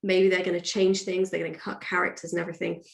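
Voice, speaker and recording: A woman's voice: lowish voice, flat delivery, fast, creaky. A woman author being interviewed, chatting casually and thinking aloud in a discursive way. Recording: roomy, echoey sound.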